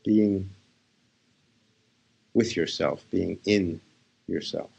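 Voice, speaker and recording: A man with a very low voice and a gravelly creak leads a guided meditation. He talks as a spiritual teacher, laying out short phrases without hurry and pausing between them. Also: darkish tone. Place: live session.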